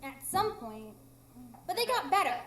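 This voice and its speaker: A woman's voice: very high voice, very animated, normal speed, fairly bright tone, heavily acted. A woman playing a tiny, mischievous, blunt fairy with a childlike, teasing manner in a live radio drama. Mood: blunt, matter-of-fact. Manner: childlike, teasing, matter-of-fact. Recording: echoey room, live stage recording.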